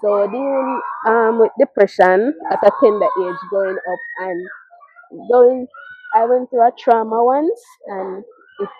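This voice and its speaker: A woman's voice: on the high side, breathy edge, no echo. Young Caribbean woman, phone-like muffled sound. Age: young adult. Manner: earnest, halting delivery with repeated phrases. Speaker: mother telling her life story. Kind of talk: personal testimony. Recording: muffled, narrow phone-like audio.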